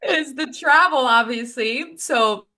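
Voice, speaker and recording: A woman from Morocco whose first language is Moroccan Arabic, telling a family story as a podcast co-host in an animated, emphatic way with frequent laughs. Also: sits high, slow. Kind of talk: casual storytelling chat.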